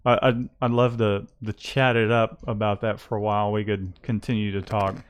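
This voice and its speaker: A man's voice: lowish voice, level tone, normal speed, clear, resonant tone. A man hosting a casual livestream about his screen-printing shop, talking in a rambling way with many restarts and fillers.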